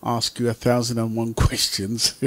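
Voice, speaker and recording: Male radio host, medium pitch, polite and unhurried, conversational delivery while introducing panel guests. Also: monotone, fairly bright tone. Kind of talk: conversational talk-show hosting.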